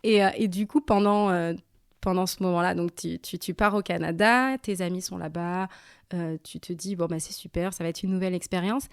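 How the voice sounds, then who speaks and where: A woman's voice: on the low side, fairly quick, smooth, dry recording, studio quality. A woman hosting a podcast, chatting casually with a friend and guest, with frequent small restarts. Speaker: podcast host. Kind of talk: casual interview conversation.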